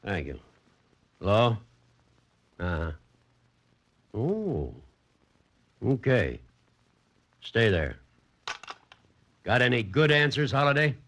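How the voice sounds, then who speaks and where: A man's voice: mid-range voice, big pitch swings, takes it slowly, long pauses, fairly bright tone, exaggerated voice. An actor playing a blunt, suspicious policeman with a terse, sardonic delivery in a 1940s radio crime drama. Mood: curt, needling. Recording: noisy old radio recording.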